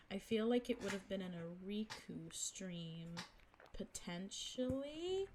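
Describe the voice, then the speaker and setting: A young woman streamer chatting casually with viewers, giggling and teasing herself, her voice a little low for a woman and her throat sore. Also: background noise. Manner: chatty, giggly, self-mocking. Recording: music playing behind the voice.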